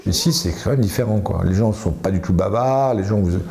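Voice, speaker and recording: A man's voice: lowish voice, a little fast, vocal fry, dark tone. A man who runs a café, speaking reflectively in an interview and searching for words with small repeats. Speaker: café owner. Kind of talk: documentary interview.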